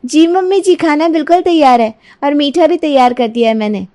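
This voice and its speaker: Casting: woman as lazy young bahu for cartoon story, very high voice, sugary, eager to please, sly cheer. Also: brisk, mellow, lightly acted. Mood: sweet, eager. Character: lazy, sweet-talking young daughter-in-law, cheerful schemer. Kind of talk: Hindi cartoon moral story dialogue.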